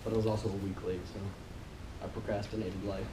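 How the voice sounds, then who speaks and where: A man's voice: fairly low pitch, flat delivery, fast talker. A man in his twenties chatting informally and jokingly as a convention panelist. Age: 20s. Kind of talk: casual panel chat. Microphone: distant from mouth. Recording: echoey, distant, noisy room.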